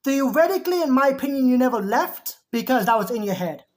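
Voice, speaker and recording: A woman's voice: high voice, animated, fast, darkish tone. Chatty woman with a sore throat. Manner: chatty, rambling, repeats just saying. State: sore throat, losing her voice. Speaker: video reactor. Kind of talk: casual reaction commentary.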